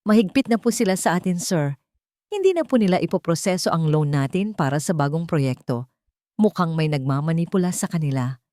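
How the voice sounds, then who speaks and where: A woman's voice: sits low, expressive, fairly quick, mellow, dry recording. A woman plays a worried finance manager in an audio drama. The employee sounds formal, urgent and deferential. It is recorded dry and close.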